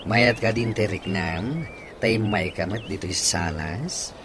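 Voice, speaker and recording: A man's voice: lowish voice, slow, background noise. An actor with an Ilocano accent playing an earnest, devoted young man in a radio drama. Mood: sincere.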